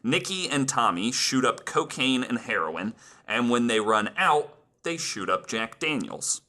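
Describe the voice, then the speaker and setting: A man's voice: mid-range voice, big pitch swings, unhurried, bright, forceful tone. A man hosting a podcast, reading out researched stories with casual asides and plenty of "like". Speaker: podcast host telling the story.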